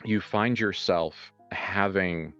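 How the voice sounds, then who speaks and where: A deep-voiced man runs a tabletop horror game as its game master. He improvises in a conversational way, stopping to repeat and rework phrases. The sound is a little dull, with music playing behind him. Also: slow, fairly bright tone. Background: background music.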